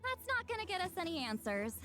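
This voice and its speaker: Haughty young shaman with animated pitch. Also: fast talker, faint music behind, heavily acted. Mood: smug, boastful. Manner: boastful, brusque. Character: haughty, proud young female shaman with a short temper. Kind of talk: video game voice acting.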